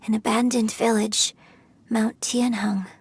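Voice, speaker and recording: A woman's voice: a little high, little pitch movement, unhurried, husky, exaggerated voice. An actress playing a refined, thoughtful young woman in a video game, speaking in an articulate, pensive and earnest way. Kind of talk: scripted video game dialogue.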